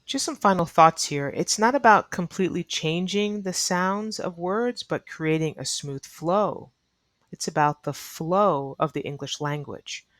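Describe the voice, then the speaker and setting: A woman's voice: fairly low pitch, a little slow, dry recording. A woman teaching an online English lesson in a neutral American accent, explaining earnestly to learners and repeating key advice for emphasis. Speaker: ESL teacher.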